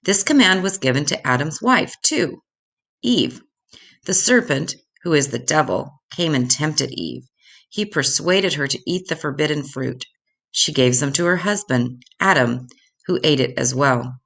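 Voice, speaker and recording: An older woman reading Bible stories aloud to children in a deliberate, instructive way, addressing them directly. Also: low voice, expressive, gravelly, fairly bright tone.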